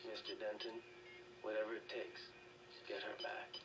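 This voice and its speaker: A man's voice: fairly low pitch, level tone, moderate pace, heavy background noise, close to an everyday voice. A man playing a somber, loyal member of a scientists' group in a video game, solemn in delivery. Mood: solemn concern. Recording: distant game capture, loud music.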